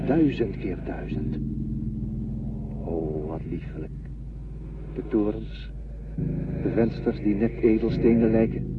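A man's voice: fairly low pitch, takes it slowly, long pauses, phone-quality sound. An actor playing a spellbound spaceman in a radio play, speaking with reverent, declamatory wonder. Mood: rapt wonder. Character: spellbound spaceman gazing at a dead moon. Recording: thin, noisy old radio recording.

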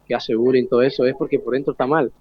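A man's voice: mid-range voice, fairly even intonation, quick pace, breathy edge, dull audio. A young Argentine man, a student, answering informally in an earnest, colloquial way with frequent question tags. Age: teens to young adult. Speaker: student guest.

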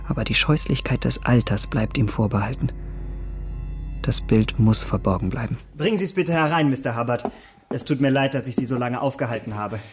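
An actor playing a vain, secretive young gentleman in a radio drama, speaking in polite, refined, formal phrases. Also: mid-range voice, brisk, faint music behind, close to an everyday voice. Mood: courteous, reserved. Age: young adult. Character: vain, secretive young gentleman, outwardly courteous, inwardly brooding.

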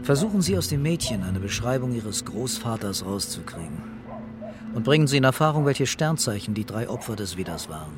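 A man's voice: medium pitch, big pitch swings, brisk, background noise, natural voice. Man playing a commanding, weary police commissioner. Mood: brisk, commanding. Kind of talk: German radio crime drama acting.

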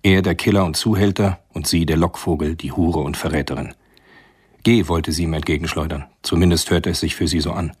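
Brief: male narrator for a radio thriller, very low register, husky, literary delivery. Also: steady tone, brisk, darkish tone.

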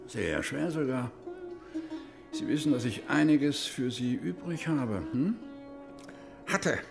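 A stern, disappointed army major of middle age in a German radio play. His voice is gravelly and swings widely in pitch, and he presses with paternal menace and short probing questions. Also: animated, takes it slowly, over music. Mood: wistful, disappointed. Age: middle-aged. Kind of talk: German radio crime drama acting.

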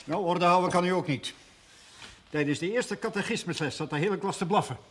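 A man's voice: sits high, brisk, a bit bright. A man acting a pompous, disapproving village schoolmaster in a period television drama, sounding incredulous and easily scandalised.

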